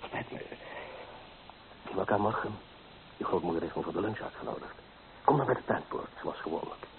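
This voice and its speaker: An actor playing an earnest, stubborn and ambitious small-town architect in a Dutch radio drama. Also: male voice, sits low, lively intonation, a little fast, crowd noise. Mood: hurried apologetic. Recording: tinny, hissy old radio play recording.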